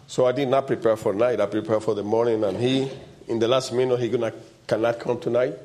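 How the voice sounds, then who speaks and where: Loud-voiced man preaching informally. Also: medium pitch, fairly even intonation, quick pace, a little hiss or hum. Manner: repeats short phrases for emphasis, with interjected amens. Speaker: preacher leading a church service. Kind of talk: informal, conversational sermon.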